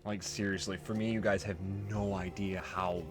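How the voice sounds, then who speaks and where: A man's voice: low voice, flat delivery, normal speed. A man doing casual, rambling video game commentary as a let's play host, informal and nostalgic. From United States. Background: video game music.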